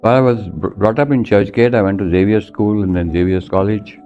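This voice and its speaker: Deep, bright-voiced older Indian man. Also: fairly even intonation, a little fast, muffled recording, faint music behind. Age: 70s. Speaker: interview guest telling his life story. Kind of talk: conversational storytelling.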